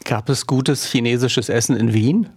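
A man's voice: medium pitch, normal speed, darkish tone, slightly rough, dry recording. A man hosting a podcast conversation, curious, asking short follow-up questions. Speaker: podcast host. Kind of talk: conversational interviewing.

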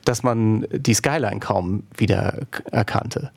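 A man hosting a conversational interview, phrasing his thoughts in a halting, reflective way. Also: on the low side, vocal fry, breathy edge, no echo. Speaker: interview host.

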